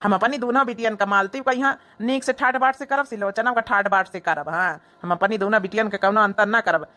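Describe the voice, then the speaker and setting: A woman's voice: mid-range voice, a little fast, sharp tone, natural voice. A woman with an Awadhi accent voicing a trusting, affectionate middle-aged village father in a comic cartoon. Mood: grateful, trusting. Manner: affectionate, earnest.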